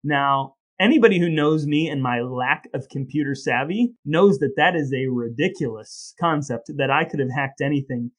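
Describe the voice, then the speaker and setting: A man's voice: on the high side, animated, normal speed, hardly any pauses. A man co-hosting a casual comedy podcast, talking in a wordy, playfully dramatic way. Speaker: podcast co-host.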